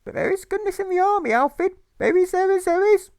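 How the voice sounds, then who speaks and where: A man's voice: extremely high pitch, lively intonation, fairly quick, vocal fry, a little mellow, no room sound, clean studio sound, pitched above the performer's own voice, full character voice. A man voicing an overwrought, nagging mother, histrionic and repeating phrases in wails, in a storybook reading. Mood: indignant, hysterical. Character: shrill, overwrought, nagging mother, fussing and guilt-tripping. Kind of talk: theatrical rhyming audiobook.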